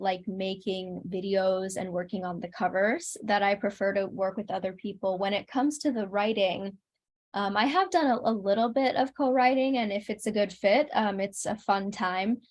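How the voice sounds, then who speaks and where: Female interview guest, average pitch, casual conversational delivery, thoughtful and earnest. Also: darkish tone. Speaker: interview guest, singer-songwriter.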